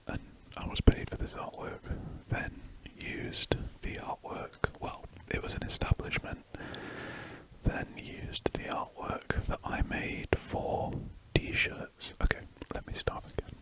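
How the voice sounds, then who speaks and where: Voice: takes it slowly, poor audio. An adult whispering casual updates in ASMR style while drawing, rambling and often restarting sentences. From United Kingdom. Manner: soft rambling whisper with frequent restarts and self-corrections. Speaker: ASMR artist.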